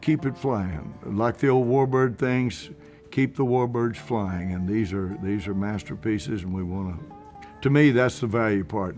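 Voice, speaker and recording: Casting: male interviewee, lowish voice, earnest and halting enthusiast, faint guitar music underneath. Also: darkish tone. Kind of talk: unscripted interview talk.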